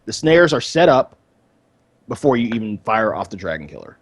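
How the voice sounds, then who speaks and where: A man's voice: lowish voice, big pitch swings, moderate pace, slightly rough, dry recording. Man co-hosting a gaming podcast, dry sound. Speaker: podcast co-host. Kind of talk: casual gaming podcast chat.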